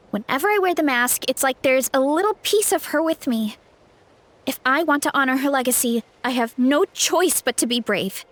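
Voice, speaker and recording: A woman's voice: high voice, fairly bright tone. A woman voicing an earnest, self-doubting but determined young girl warrior in a video game, with a sincere manner. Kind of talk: animated video game voice acting.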